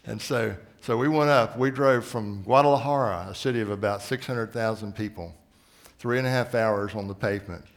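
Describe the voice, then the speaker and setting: Gravelly-voiced man preaching. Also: normal speed. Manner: earnest storytelling, often drops to a soft low voice. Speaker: missions leader preaching. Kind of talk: sermon.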